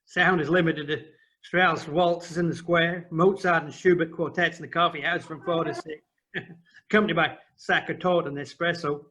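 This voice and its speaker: An actor in an audio play playing a helpful American official, reassuring and gently joking. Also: male voice, a little high, fairly even intonation, moderate pace, slight background noise. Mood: genial, joking. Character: helpful, genial American official living in Austria.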